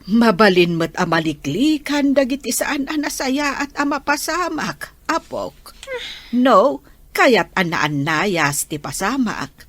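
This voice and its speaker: Woman as soothing old grandmother, Ilocano Filipino accent, unhurried, higher-pitched, drama acting. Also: very animated, fairly bright tone, no room sound, full character voice.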